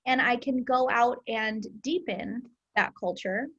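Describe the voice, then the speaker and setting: A woman's voice: on the high side, measured pace, a bit bright, husky, muffled recording. A woman, an educator hosting a casual discussion, speaking earnestly and emphatically.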